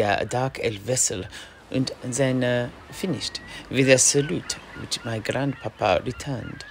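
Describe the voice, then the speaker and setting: A man playing a courteous mercenary knight, telling a fantasy sea tale in a formal but halting manner. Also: mid-range voice, fairly bright tone, slight background noise. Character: courteous mercenary knight retelling his grandfather's sea adventure. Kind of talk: in-character fantasy storytelling.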